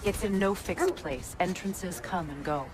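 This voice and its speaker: Guarded middle-aged goddess for a fantasy game, played by a woman: slightly deep, measured, determined, music underneath. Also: close to an everyday voice. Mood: explaining. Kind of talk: video game voice acting.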